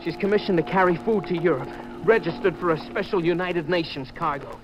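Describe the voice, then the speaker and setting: Actor playing high-voiced, jumpy young radio sailor. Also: male voice, a little fast, natural voice. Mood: urgent. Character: desperate, jumpy nineteen-year-old male sailor. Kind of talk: 1950s radio drama acting. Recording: muffled old radio recording, faint music.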